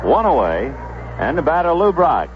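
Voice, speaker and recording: A man doing live radio baseball play-by-play, describing the action in measured phrases with pauses between them. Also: fairly high pitch, sing-song. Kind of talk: live radio sports commentary. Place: stadium broadcast booth. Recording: muffled 1970s AM radio broadcast, background noise.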